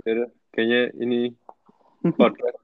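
A man's voice: medium pitch, monotone, a little slow, narrow, tinny audio. A young man with a Jakarta Indonesian accent co-hosting a podcast in casual, slangy banter, mixing in English words. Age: young adult. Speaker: podcast co-host.

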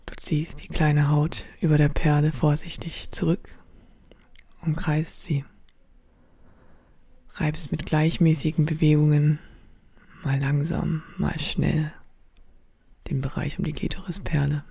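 A woman in an audio drama whispering slow, intimate second-person narration in a breathy voice. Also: sits low, flat delivery, dark tone, dry recording. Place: studio.

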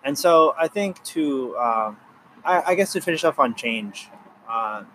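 A man's voice: medium pitch, animated, a little slow, a bit bright, slight background noise, rough recording. A man in his twenties chatting casually with a friend, earnest and rambling, full of fillers and restarts. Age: 20s. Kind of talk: casual chat.

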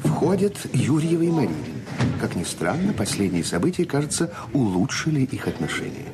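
An actor as a composed narrator, reading stage directions for a Soviet radio play. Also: male voice, mid-range voice, very animated, brisk, close to an everyday voice. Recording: very noisy old radio recording.

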